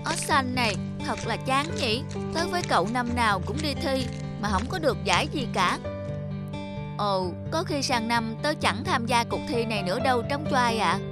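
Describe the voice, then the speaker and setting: A woman voicing an earnest, plaintive little animal singer in a children's cartoon. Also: high-pitched. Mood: dejected.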